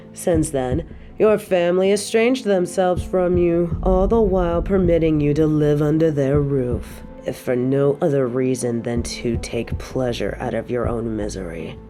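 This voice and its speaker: A woman's voice: low voice, mellow. A woman playing an evil, possessive dictator queen, cold, cruel and seductive, taunting in formal, imperious words in an audio roleplay. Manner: taunting, imperious, formal wording, lingering delivery. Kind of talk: scripted audio roleplay monologue.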